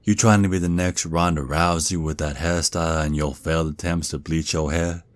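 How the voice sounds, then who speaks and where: A man's voice: very deep voice, steady tone, normal speed, no room sound. A man playing a menacing, mocking, protective werewolf father with a Southern US accent, drawling and taunting in an ASMR roleplay. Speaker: audio roleplay performer.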